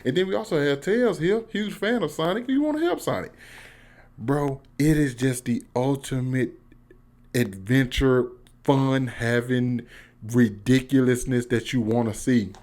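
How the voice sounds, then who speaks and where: Casting: American male vlogger, mid-pitched, informal and excitable, slang-heavy, off-the-cuff review delivery. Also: a little slow. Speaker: YouTube movie reviewer. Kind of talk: casual one-take vlog review.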